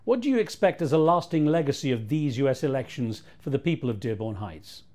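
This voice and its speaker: A man with a mid-range voice conducts a news interview. He asks each question in a measured, formal way, with clear, complete sentences. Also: mid-range voice, expressive, darkish tone.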